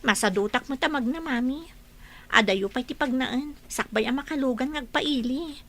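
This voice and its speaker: High-voiced village mother played by a woman. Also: normal speed, fairly bright tone. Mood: patient. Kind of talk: radio drama acting.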